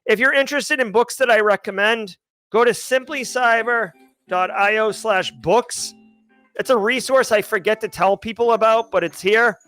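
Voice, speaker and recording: Forties male host, chatty and self-correcting, upbeat, bright tenor. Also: high voice, moderate pace, faint music behind. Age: 40s. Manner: rambling, upbeat, restarts and corrects himself often. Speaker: livestream host answering chat questions. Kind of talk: casual livestream chat.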